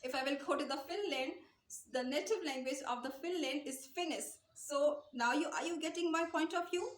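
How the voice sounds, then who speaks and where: A woman's voice: high voice, moderate pace, roomy sound. A young Pakistani woman with a Pakistani accent gives an earnest English-speaking lesson straight to camera, repeating her points and gesturing with her hands. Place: small indoor room, light wall behind.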